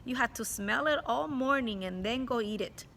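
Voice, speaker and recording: A woman teacher reads a children's novel aloud and breaks off to talk straight to her students. Her voice sits a bit high and sounds bright. It has a gravelly fry, and faint noise lies underneath. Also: moderate pace. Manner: warm, explains to children directly. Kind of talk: read-aloud storytelling with commentary.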